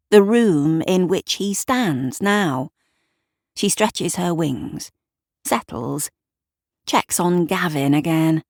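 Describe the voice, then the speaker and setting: A woman narrates scripted fiction in a low voice, taking her time over each phrase. Her delivery is measured and literary, and the sound is dry with no room to it. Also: dry recording. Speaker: author and narrator of an audio drama.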